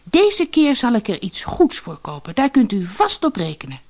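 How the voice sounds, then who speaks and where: Storybook boy, naive and eager, earnest, pitched up, played by a female narrator. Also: wildly swooping pitch, normal speed, darkish tone, full character voice. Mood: earnest promising. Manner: earnest, a little bashful. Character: naive, kind-hearted, eager boy in a fairy tale.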